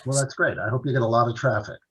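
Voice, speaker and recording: A man's voice: medium pitch, fairly even intonation, quick pace, fairly bright tone, husky, poor audio. A man, an author, telling long stories in an interview over a video call, rambling with restarts and fillers. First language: English. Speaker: author and translator, interview guest.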